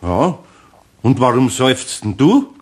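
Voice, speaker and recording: An older man with a Bavarian accent playing a kindly old master carpenter in a radio play, grumbling slowly and good-naturedly. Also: mid-range voice, very animated, darkish tone, breathy edge, full character voice. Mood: gentle, teasing.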